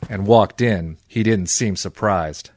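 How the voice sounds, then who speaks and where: An actor playing a tough, cynical, wisecracking private detective in old-time radio style, deadpan and sardonic. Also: male voice, lowish voice, slow pace, background noise. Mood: wry, deadpan. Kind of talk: old-time radio detective acting with first-person narration.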